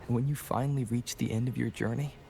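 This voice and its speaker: A man playing a resolute gravelly hero. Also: mid-range voice, quick pace, lightly acted. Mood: earnest. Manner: earnest, steady, reassuring. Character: resolute male hero encouraging a companion. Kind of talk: game cutscene voice acting. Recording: with game music.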